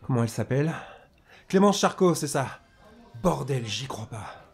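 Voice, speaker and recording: A man playing a gruff but caring, blunt and slangy policeman in an audio drama. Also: medium pitch, expressive, normal speed, faint music behind. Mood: stunned, vengeful.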